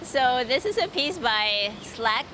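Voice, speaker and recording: A woman's voice: sits high, lively intonation, slow pace, sharp tone, a little hiss or hum. A woman guiding a casual, upbeat walking tour of street art outdoors.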